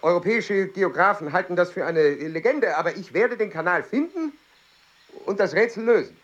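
An actor playing an earnest, formal explorer-scientist in a radio play, flustered and stiff in manner. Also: male voice, sits high, expressive, moderate pace, fairly bright tone, muffled recording. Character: earnest, driven male explorer-scientist, adult. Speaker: lead character in a radio play. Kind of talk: 1990s-2000s German radio drama acting.

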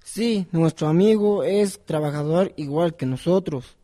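A man's voice: on the high side, unhurried, mellow, lightly acted. A man with a Guatemalan accent acting an eager, friendly working man in an amateur radio drama. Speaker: announcer and actor in radio drama. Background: music.

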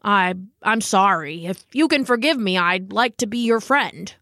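A woman's voice: mid-range voice, lively intonation, measured pace, pushed and bright, no echo, clean studio sound. A woman voicing a humble, earnest old male dog in a storybook, speaking hesitantly and sincerely. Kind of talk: storybook character voice.

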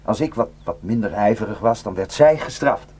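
An actor playing a haunted, guilt-ridden male defector in a Dutch radio drama, speaking in a halting, intense and bitter way. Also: lowish voice, expressive, a little mellow, slightly rough. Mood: anguished, guilty. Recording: noisy radio play recording with hum.

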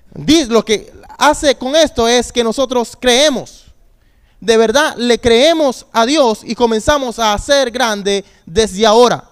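Male youth pastor, thirties, high smooth voice, preaching with repetition and call-and-response. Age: 30s. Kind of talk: sermon.

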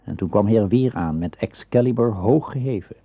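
A man narrating a radio play as a storyteller, in a measured storybook manner. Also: lowish voice, husky, no echo. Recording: slightly dull radio play recording.

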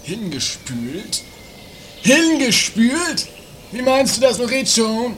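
A man's voice: very high voice, very animated, slow pace, a little hiss or hum, heavily acted. A man playing a melodramatic, easily outraged, gloating schemer in a trashy comedy radio play, declaiming with exaggeration over music. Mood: demanding. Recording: loud music under the voice.

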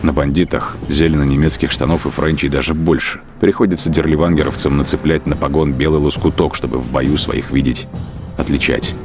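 Very deep-voiced male radio drama narrator. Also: fairly even intonation, brisk, over music. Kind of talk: scripted literary narration.

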